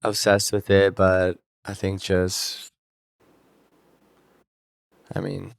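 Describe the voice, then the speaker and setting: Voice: sits low, completely flat delivery, extremely slow, vocal fry, no echo, clean studio sound. A person in casual conversation, speaking in a hesitant, noncommittal way. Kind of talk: casual chat.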